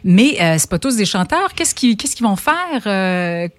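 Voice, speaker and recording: A woman's voice: medium pitch, very animated, unhurried, no room sound. A woman, hosting a radio interview in a conversational tone and asking open questions. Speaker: radio host.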